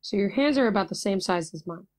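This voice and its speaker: A woman's voice: medium pitch, lively intonation, quick pace, darkish tone, slight background noise, lightly acted. An actress playing a confident, blunt warrior woman in a fantasy audio drama, dry and matter-of-fact. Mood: reassuring, wry.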